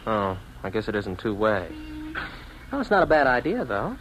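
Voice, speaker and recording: A man's voice: lowish voice, big pitch swings, moderate pace, fairly bright tone, a little hiss or hum, low-quality recording. An actor with an American accent playing a lonely city man in a 1950s radio drama, narrating his own awkward romance. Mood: puzzled, awkward. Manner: hesitant and awkward in dialogue. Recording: vintage 1950s radio broadcast, music behind.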